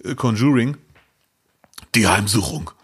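A man's voice: on the low side, expressive, measured pace, fairly bright tone, slightly rough. A man co-hosting a casual podcast chat, cracking dry ironic jokes. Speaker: podcast co-host.

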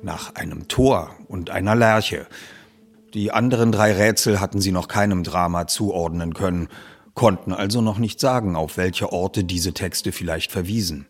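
A man reading a youth detective story as narrator, in a measured, expressive storytelling way. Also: low-pitched, husky. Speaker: audiobook narrator. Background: music under the reading.

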